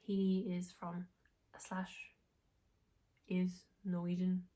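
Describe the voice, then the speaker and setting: A young woman from England hosting a knitting podcast, chatting casually while she sounds nasal and hoarse from a recent illness, with a rambling, restarting way of talking. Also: on the low side, completely flat delivery, extremely slow, warm tone. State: hoarse, congested, recovering from illness. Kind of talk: casual chat to camera.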